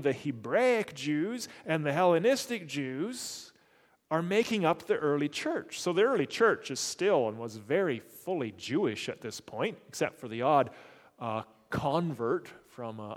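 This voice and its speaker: A man's voice: a little high, long reverb tail. A man giving an unscripted Bible lesson, explaining patiently and repeating phrases for emphasis, with occasional fillers. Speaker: Bible teacher.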